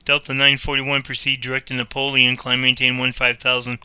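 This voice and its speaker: A man's voice: mid-range voice, completely flat delivery, fairly quick, sharp tone, clean tone, no room sound. A man playing air traffic controller in a simulator, giving procedural instructions and commenting on his own game. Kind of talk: simulator gameplay commentary and radio phraseology.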